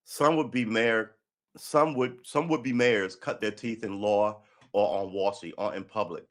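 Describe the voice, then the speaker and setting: A New man with a fairly low voice reads a news piece on a live stream. He keeps stopping to fire off sarcastic, profane commentary in a heated tone. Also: a little slow. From New York City. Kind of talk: reading an article aloud with running commentary. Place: indoor room by a window.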